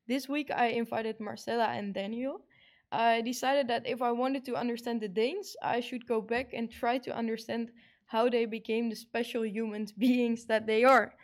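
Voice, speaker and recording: A young adult woman hosting a radio show, introducing and interviewing guests in an upbeat presenting style. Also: on the high side.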